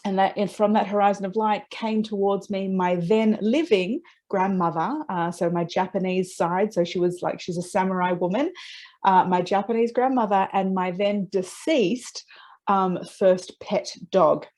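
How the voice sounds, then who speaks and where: A woman being interviewed, telling personal stories in a conversational, reflective and expressive way with small check-in questions. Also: medium pitch, measured pace. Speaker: interview guest, transpersonal psychology practitioner.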